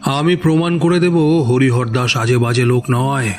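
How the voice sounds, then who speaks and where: A man's voice: mid-range voice, fairly even intonation, a little mellow, faint music behind, lightly acted. Man voicing a muttering, obsessed ageing magician. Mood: muttering, determined. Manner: slow, muttering to himself. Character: obsessed ageing male magician, weary but defiant. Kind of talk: audio story dramatization.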